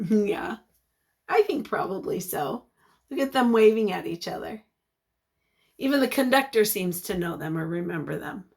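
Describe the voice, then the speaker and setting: A woman reading a picture book aloud as a teacher, expressive and encouraging, pausing to put questions to young listeners. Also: mid-range voice, vocal fry.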